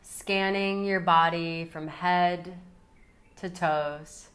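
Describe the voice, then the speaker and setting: A woman leading a guided yoga nidra meditation in a calm, soothing, unhurried way with long pauses. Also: fairly low pitch.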